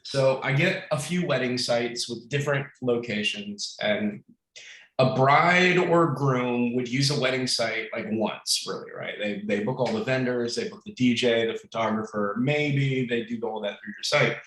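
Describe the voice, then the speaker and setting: Man, mid-pitched, friendly host, rambling asides, roomy echo. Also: measured pace, fairly bright tone. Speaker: webinar host. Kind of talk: casual webinar talk. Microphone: video call.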